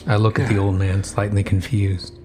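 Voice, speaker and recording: A man narrating a first-person horror story in measured, somber short phrases. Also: fairly low pitch, little pitch movement, a little mellow, soft background music.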